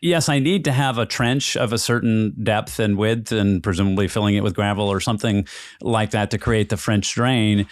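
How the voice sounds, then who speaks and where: A man's voice: fairly low pitch, normal speed, no room sound. Man hosting a podcast, close dry sound. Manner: explains through extended analogies, rhetorical "right?" checks. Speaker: podcast host interviewing a guest. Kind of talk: conversational podcast interview.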